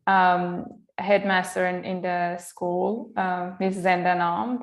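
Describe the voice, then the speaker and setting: Iranian-woman, flat even delivery. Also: mid-range voice, echoey room. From Iran. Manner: measured, many um fillers and pauses. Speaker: guest, entrepreneur and lecturer. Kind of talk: interview conversation.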